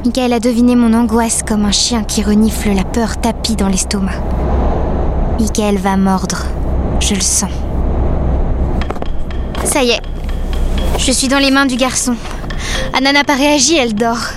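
A woman's voice: fairly high pitch, steady tone, a little slow, long pauses, background noise, lightly acted. A woman voicing a tender, anxious, observant living rag doll who narrates an audio drama. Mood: watchful, anxious. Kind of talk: scripted audio fiction narration.

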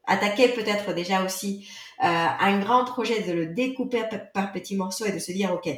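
A woman for whom French is not her first language, answering podcast questions as an author. She talks in halting phrases, often stopping and starting over. The sound carries a noticeable room echo. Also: medium pitch. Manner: hesitant, many fillers and restarts. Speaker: guest author. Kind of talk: podcast interview.

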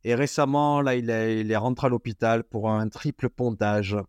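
A man's voice: mid-range voice, steady tone, moderate pace, a little mellow, smooth, no echo. A man hosting a casual podcast, talking informally with lots of fillers and restarts. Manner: chatty, informal, many fillers. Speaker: podcast host.

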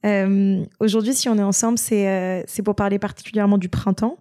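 A woman's voice: medium pitch, steady tone, brisk, dark tone. A woman hosting a podcast, introducing and interviewing a guest. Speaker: podcast host.

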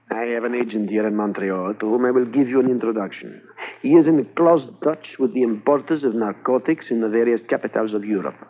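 Radio play, suave Argentine smuggler, husky and lively, tinny old transcription. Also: male voice, lowish voice. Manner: oily, ingratiating, polite. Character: smooth scheming Argentine drug smuggler, middle-aged man. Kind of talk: 1950s radio drama acting.

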